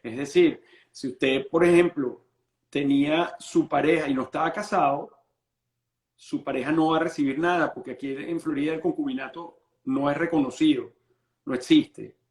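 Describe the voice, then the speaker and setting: A Venezuelan-born lawyer explaining estate planning in an interview, patient and full of restarts. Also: male voice, a little high, normal speed, echoey room. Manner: explains patiently, many restarts and repeated words.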